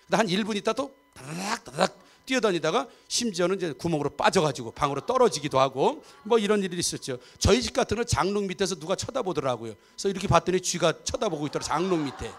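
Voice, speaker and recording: High-voiced pastor in his fifties. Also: lively intonation, fast talker, gravelly. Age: 50s. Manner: chatty, often seeks agreement from the congregation. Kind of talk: sermon with humor and audience asides. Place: church sanctuary.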